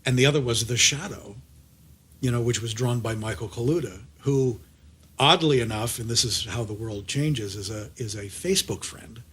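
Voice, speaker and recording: A man's voice: mid-range voice, moderate pace, fairly bright tone, breathy edge. A middle-aged American man hosting a conversational interview, chatty and rambling as he circles back mid-sentence. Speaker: podcast host and audio drama producer.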